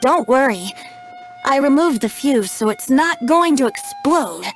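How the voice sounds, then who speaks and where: A woman's voice: sits high, expressive, a little slow, faint music behind. A woman voicing a youthful male bard in a video game, playful and sly, with a lilting, poetic, teasing delivery. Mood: playful, earnest. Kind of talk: English video game voice acting.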